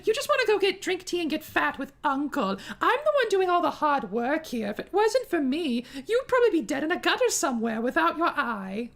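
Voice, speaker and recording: A woman's voice: high voice, very animated, brisk, gravelly, few pauses, voice pushed up, exaggerated voice. A woman doing a mocking comic impression of a spiteful, self-righteous princess. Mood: scornful.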